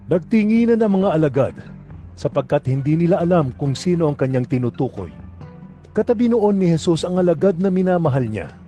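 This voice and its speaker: A man's voice: on the high side, sing-song, fairly quick, dark tone, dull audio, faint music behind. A man narrating scripture for an audio drama in a scripted storytelling style.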